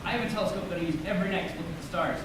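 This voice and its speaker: A man's voice: high-pitched, rapid-fire, natural voice. A man playing an earnest, literal-minded teenage boy in a stage play, explaining things pedantically and in great detail, with stumbles. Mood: earnest, pedantic. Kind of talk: amateur one-act stage play acting. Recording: echoey distant stage recording, noisy.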